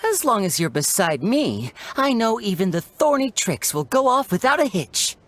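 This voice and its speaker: A woman's voice: medium pitch, sing-song, moderate pace, slight background noise, natural voice. A woman playing a playful young stage magician in a video game, charming, teasing and showy in delivery. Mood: theatrical, warm. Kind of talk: scripted video game character dialogue. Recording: music behind the voice.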